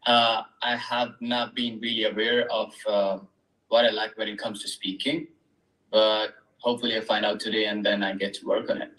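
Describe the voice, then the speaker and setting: A relaxed, friendly man shares what he hopes to learn. His voice sits slightly low and sounds distant in an echoey room. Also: normal speed. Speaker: workshop participant.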